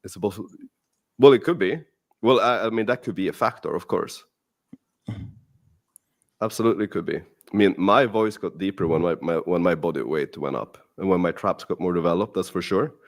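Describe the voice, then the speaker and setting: A man with a somewhat low voice gives blunt, emphatic opinions in podcast banter. He swears often and states things flatly. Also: normal speed. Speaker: dating coach. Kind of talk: casual podcast chat.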